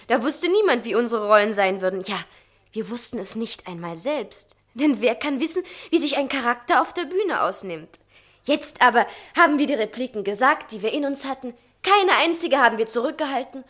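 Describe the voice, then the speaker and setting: Lilting, tender young heroine. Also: female voice, on the high side, sing-song, normal speed, fairly bright tone. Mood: tender, solemn. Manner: tender, lyrical, earnest. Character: gentle, consoling young woman, romantic heroine. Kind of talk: theatrical 1960 German radio play acting.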